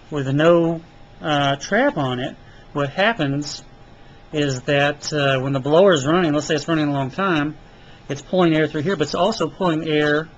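A man explaining HVAC airflow in a casual, halting way while he draws diagrams, with lots of fillers. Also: on the high side, muffled recording, slight background noise. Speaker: HVAC technician. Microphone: camera filming paper close up.

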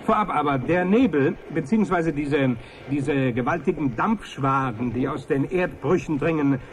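An actor in a radio play playing a formal, diplomatic news presenter with a measured, placating manner. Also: male voice, a little high, close to an everyday voice. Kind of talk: acted radio news broadcast. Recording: 1980s radio drama, faint noise.

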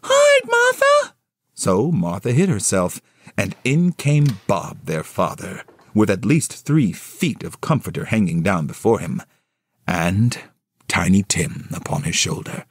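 A man giving theatrical storybook narration, expressive and dramatic with measured phrasing. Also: sits low, breathy edge. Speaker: narrator and solo voice actor.

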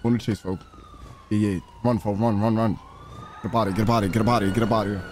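A man streaming a role-play game, chattering in character in excitable slang and repeating his phrases. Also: on the low side, very fast. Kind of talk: in-character live gameplay chatter. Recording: sirens and vehicle noise behind voice. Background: game sirens and car sounds.

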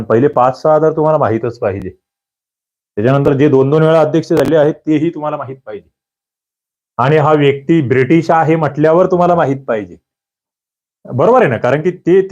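A man's voice: a little high, darkish tone. A man with a slightly raised voice runs a quiz-style history lesson. He coaxes his students along and says the key answers twice. The sound is dull and lo-fi. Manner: coaxing, repeats key answers. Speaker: exam coaching teacher.

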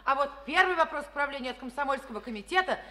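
A woman's voice: sits high, animated, fast, bright, forceful tone. A woman playing a fervent, outspoken young communist youth activist in a Soviet radio play, speaking emphatically. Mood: eager, combative. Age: young adult. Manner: emphatic, declamatory.

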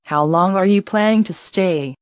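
A woman reading English travel phrases one by one, slowly and carefully, for learners to repeat. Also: on the low side, dry recording, muffled recording. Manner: careful, evenly paced, each phrase read alone. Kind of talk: slow clear phrase repetition for learners.

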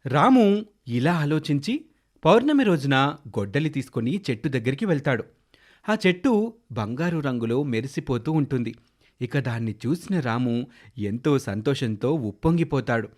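A man's voice: mid-range voice, very animated, normal speed, a little mellow. A man narrating a scripted children's moral story.

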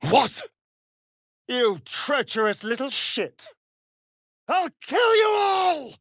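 A man's voice: very high voice, very animated, drawn-out words, a bit bright, no room sound, raised voice, exaggerated voice. Sardonic ancient vampire, arch and theatrical, video game style, takes his time, close dry sound. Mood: desperate, furious. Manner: arch, drawling, flamboyant delivery.

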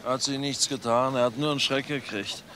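A mid-pitched man acted as a streetwise convict in a crime radio play. He sounds chummy and placating, with clear outdoor noise behind him. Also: steady tone, a little fast, fairly bright tone, natural voice.

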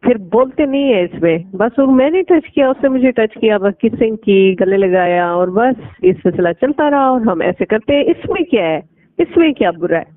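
A woman's voice: fairly high pitch, animated, moderate pace, dry recording. Young woman on a tinny phone line. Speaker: caller. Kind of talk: casual private phone chat.

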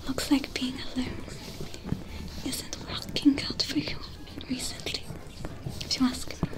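A woman voicing a young female alien smuggler with a French accent, who gently tends a stranger's wounds in a whispered, soft-spoken ASMR roleplay. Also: sits high, little pitch movement, measured pace. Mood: soothing whisper. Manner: gentle, reassuring, coaxing. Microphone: close to the camera.

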